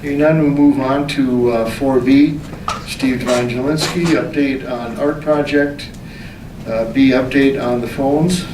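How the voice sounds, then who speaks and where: A man's voice: mid-range voice, steady tone, a little slow. A man chairing a city council meeting as mayor, formally reading reports aloud and moving through the agenda. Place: indoor council meeting room. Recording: echoey meeting room, distant mic, background noise.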